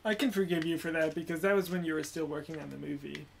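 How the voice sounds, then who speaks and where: A man's voice: on the high side, quick pace, vocal fry, slight background noise. A young man co-hosting a livestream, chatting in a wry, teasing and reflective way while gaming. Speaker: livestream co-host. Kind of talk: casual chat while playing a game.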